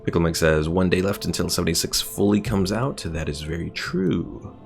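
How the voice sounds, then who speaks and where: A man's voice: deep voice, normal speed, creaky. A man doing casual gameplay commentary, rambling and thinking aloud as he chats with his viewers. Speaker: game streamer. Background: game music.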